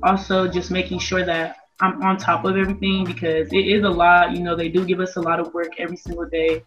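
A woman's voice: fairly low pitch, little pitch movement, fairly quick. A young American woman from Las Vegas giving casual interview answers as a college athlete on a video call. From Las Vegas, United States. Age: young adult, about 18. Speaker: college basketball player being interviewed. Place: indoor room, on video call. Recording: dull video-call audio, music underneath. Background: music.

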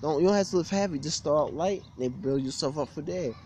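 A man's voice: a little high, fast, darkish tone, slight background noise. A young man giving a blunt, repetitive, aggrieved rant to camera in an informal way, recorded outdoors on a handheld device. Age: young adult. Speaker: gym vlogger. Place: outdoors beside a brick building on a street. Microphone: phone or webcam at arm's length.

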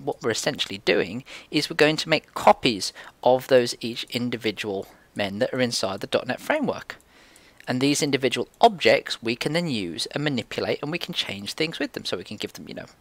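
A man's voice: medium pitch, a bit bright, no echo. A man giving an informal screen-share tutorial, explaining things in a rambling, conversational way. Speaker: programming tutorial presenter.

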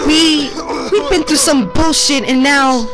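A woman's voice: sits high, measured pace, music in the background. A woman playing a chatty, flustered teenage girl adventurer in an amateur comedy dub of a fantasy game. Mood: shyly confessing.

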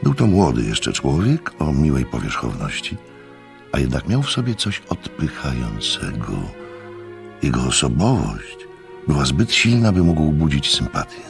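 A man acting as a courteous, observant gentleman narrating a radio play in measured, literary phrases. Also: extremely low pitch, huge pitch swings, vocal fry, faint music behind, full character voice. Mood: reflective, descriptive.

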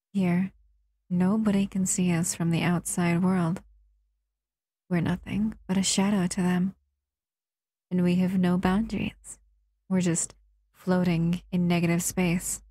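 A woman acts out a female shadow monster who is tender, possessive and protective. Her voice is soft, dark and husky, often dropping into a breathy whisper. It is close, dry ASMR roleplay, murmured slowly and soothingly. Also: lowish voice, dry recording. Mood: soothing, alluring.

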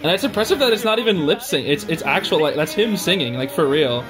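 A young man giving casual, excitable, slangy reaction commentary as a video host. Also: a little high, fast, rough recording. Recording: music playing behind the voice. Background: stage show music.

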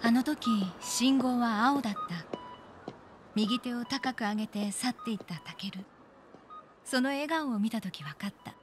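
A woman's voice: on the high side, a little slow, slight background noise, natural voice. An actress playing a wistful young woman recalling a lost love in an audio drama. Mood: wistful, reflective. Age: young adult. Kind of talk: audio drama dialogue and narration.

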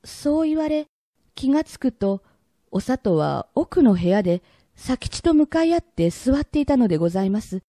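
A woman narrator with a very bright, forward voice in a dry recording. She tells a period radio drama formally, in measured, old-fashioned polite phrasing. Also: on the high side, sing-song, no room sound.

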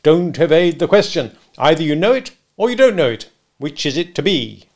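A domineering gentleman interrogator from a storybook, acted out by a man, raised and emphatic in pitch, with a gravelly creak. He presses each question with sarcastic, bullying insistence. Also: animated, unhurried. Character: bullying, sarcastic gentleman stranger, cross-examining like a lawyer. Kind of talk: dramatic audiobook character reading.

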